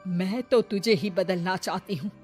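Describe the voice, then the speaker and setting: Woman acting as middle-aged modern mother-in-law, haughty, bossy, mid-pitched, cartoon story, faint music behind. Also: moderate pace, lightly acted. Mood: haughty, scolding. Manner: scolding, haughty, sprinkles English words. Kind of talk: animated moral story acting.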